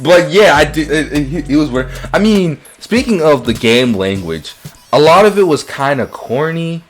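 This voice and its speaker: A man's voice: a little high, expressive, normal speed, soft background music. A man hosting a casual movie-review chat, ranting with profanity and opinions, often repeating and stumbling over his phrases. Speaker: podcast host.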